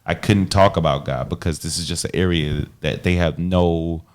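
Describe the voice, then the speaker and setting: A man's voice: low voice, steady tone, normal speed. A man co-hosting a relationship podcast, talking casually and playfully and slipping into mock quotes as he tells stories. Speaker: podcast co-host and minister.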